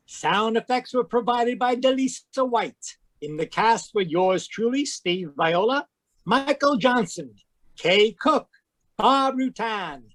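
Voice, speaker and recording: A man announcing in a genial, deliberate old-time radio style as he closes the show. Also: extremely high pitch.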